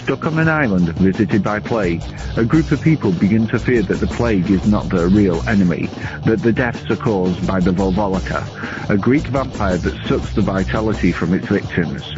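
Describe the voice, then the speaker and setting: A man's voice: lowish voice, moderate pace. Guy narrating a movie top list, music underneath, bit of a muffled lofi sound. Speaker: film reviewer, guest contributor. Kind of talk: scripted film countdown narration. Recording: dull lo-fi recording under music.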